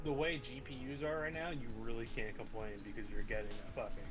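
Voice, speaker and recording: Informal guy chatting over clear music. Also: medium pitch, quick pace. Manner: informal, slangy, swearing. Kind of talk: casual chat. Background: background music.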